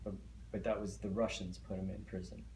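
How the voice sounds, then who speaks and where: Middle-aged man, first language Hungarian, low monotone voice, halting with frequent fillers, echoey room with faint hiss. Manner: hesitant, many fillers and restarts. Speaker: interviewee. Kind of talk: oral history interview.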